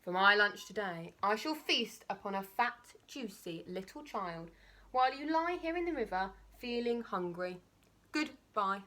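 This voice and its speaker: A woman's voice: medium pitch, animated, unhurried, pushed and bright. Storybook villain: a woman as a huge boastful crocodile, greedy and sly, savouring every word, slipping into whispers. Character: enormous greedy boastful sly male crocodile, relishing eating children.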